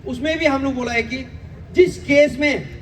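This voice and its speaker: A male activist with an Arunachali accent delivers an earnest public address at a rally. His voice is strikingly high for a man. He presses his points and repeats phrases, heard at a distance through a slightly noisy recording. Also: moderate pace, fairly bright tone. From Arunachal Pradesh, India. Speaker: activist speaker at a protest rally. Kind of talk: public address at a rally, Hindi mixed with English. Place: public rally venue, voice picked up from afar.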